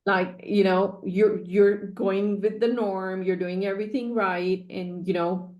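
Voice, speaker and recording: A woman's voice: mid-range voice, little pitch movement, a little slow, roomy sound, highs cut off. A woman in her forties telling her personal story as a podcast guest, earnest and repeating key phrases for emphasis. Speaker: guest, sales coach.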